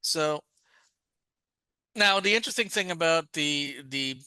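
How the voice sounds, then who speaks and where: A man's voice: a little high, lively intonation, unhurried, sharp tone, smooth, no echo. A man co-hosting a podcast, chatting casually and rambling through thoughts with many restarts and asides. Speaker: podcast co-host. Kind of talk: casual discussion.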